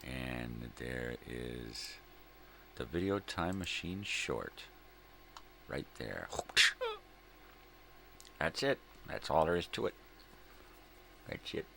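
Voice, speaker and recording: Middle-aged guy with a super low voice, folksy rambling morning vlog chat, some background noise. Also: lively intonation, very slow, long pauses, fairly bright tone. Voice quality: gravelly, very deep. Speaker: host of a daily vlog. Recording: clear background room noise.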